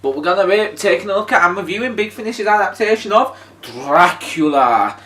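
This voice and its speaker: A man's voice: sits high, lively intonation, brisk, fairly bright tone. A man hosting an unscripted, casual review chat as the presenter of a video. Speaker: video host. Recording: roomy echo, distant mic, music.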